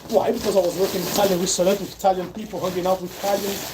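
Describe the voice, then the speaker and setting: A Brazilian man talks in English with a clear Brazilian Portuguese accent, in a loose, meandering livestream chat. He often stops to correct himself and picks up his thread again. Loud background noise runs under his voice throughout. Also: sits high, little pitch movement, very fast. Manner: rambling, self-correcting, conversational. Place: at work, sorting bags.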